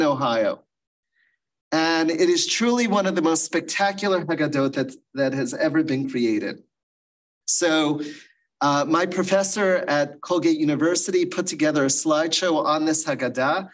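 A middle-aged American man speaks to a small group in a big meeting hall, his voice sitting a little high. He tells his story in a relaxed, conversational way, full of ums and restarts, and the room mic sits some distance away. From United States. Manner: warm conversational storytelling with many fillers. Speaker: guest educator. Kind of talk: informal lecture.

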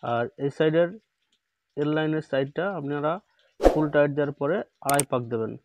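A man hosting a hands-on repair tutorial, explaining each step slowly and repeating instructions, recorded on a phone indoors. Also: a little high, fairly even intonation, very noisy. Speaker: repair channel host. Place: indoor workbench.

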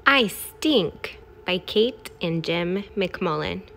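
A woman's voice: on the low side, lively intonation, drawn-out words, creaky, fairly bright tone, a little hiss or hum. A woman hosting a read-aloud of picture books for young children.

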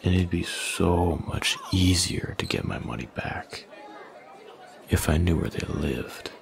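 Man playing a slow, gravelly, menacing young bully. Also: a faint crowd, exaggerated voice. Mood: coldly threatening. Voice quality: low, gravelly, often half-whispered. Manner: slow, taunting, domineering. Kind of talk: boyfriend ASMR roleplay, close and breathy.

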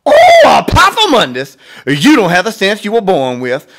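A man's voice: sits high, huge pitch swings, normal speed, fairly bright tone, pitched above the performer's own voice, exaggerated voice. A man voicing an exasperated, scolding mother in a storybook, with emphatic delivery. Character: exasperated, scolding mama, loud and shrill.

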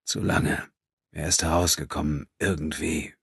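A haunted, bitter father in an audiobook, acted out by a male reader. His voice is extremely deep and husky, halting and trembling under guilt and grief. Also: steady tone, fairly bright tone, no room sound, clean studio sound, heavily acted. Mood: bitter, self-hating.

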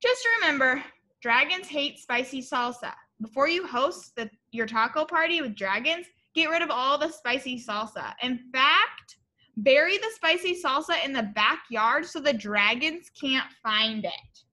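A young woman reading a picture book to children as a teacher, in an expressive, exaggerated way, often addressing her young listeners with questions. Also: sits high, a little slow. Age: young adult. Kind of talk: animated children's story read-aloud. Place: classroom, indoors.

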